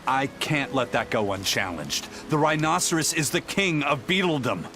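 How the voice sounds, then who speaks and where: Um ator interpretando um capitão pirata severo e orgulhoso, de fala firme e professoral. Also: male voice, medium pitch, animated, normal speed, music in the background, natural voice. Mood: stern. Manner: stern, lecturing. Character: stern proud male pirate captain. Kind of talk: game dub acting.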